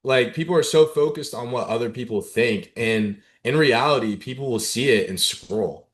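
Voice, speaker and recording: A man's voice: medium pitch, moderate pace. A young man from Dallas, a content creator guesting on a podcast, talking casually with lots of slang and "like.". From Dallas, USA. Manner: relaxed, slangy, lots of "like" and "right?".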